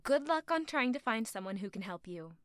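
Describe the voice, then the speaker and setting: A woman playing a sullen, higher-voiced noblewoman. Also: lively intonation, fairly quick, a little hiss or hum, lightly acted. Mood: stubborn, sarcastic. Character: moody, stubborn young noblewoman, guarded and sulky. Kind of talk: audio drama acting.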